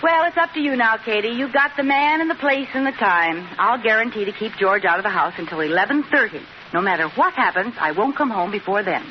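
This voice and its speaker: An actress playing a wisecracking, coaxing housewife in old radio sitcom style. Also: female voice, a little high, expressive, fairly quick, hardly any pauses, close to an everyday voice. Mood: encouraging. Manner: coaxing, wry, patient. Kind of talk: 1940s-50s radio sitcom acting. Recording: dull, noisy old radio recording. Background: studio audience.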